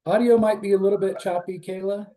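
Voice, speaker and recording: A man's voice: sits high, steady tone, fast, dark tone. A man hosting an online group meeting, thanking people in short friendly remarks. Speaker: meeting host. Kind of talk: casual video-call chat.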